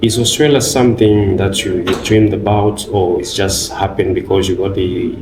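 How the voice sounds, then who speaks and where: A man hosts the interview with a very deep voice, warm and encouraging, filling pauses with quiet murmurs of agreement. He sounds far from the mic in a roomy space. A constant background noise sits beneath him. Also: steady tone. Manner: warm and encouraging, with many backchannels. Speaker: interview host. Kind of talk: casual interview.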